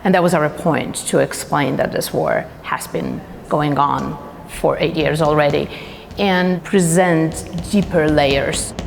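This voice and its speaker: A woman's voice: low-pitched, steady tone, slow, vocal fry, slight background noise. A woman speaking as an exhibition curator in a documentary interview, recorded in a reverberant room. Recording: reverberant hall, faint music behind.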